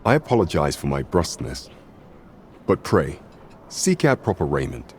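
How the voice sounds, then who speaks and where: An actor playing a grave, courtly royal advisor in a fantasy game, speaking formal, archaic English in a measured, deferential way. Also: male voice, rumbling low, very animated, darkish tone, slight background noise. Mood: formal, courteous.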